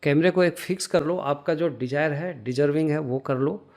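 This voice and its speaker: A man with an Indian accent giving a casual software lesson, hesitant and thinking aloud as he works. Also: on the high side, moderate pace, creaky. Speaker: tutorial instructor.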